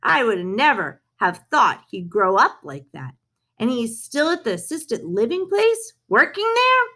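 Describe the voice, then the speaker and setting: A woman's voice: a little high, big pitch swings, measured pace, sharp tone, heavily acted. A woman reading the part of a friendly, plain-spoken rancher man in a storybook. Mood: impressed, curious.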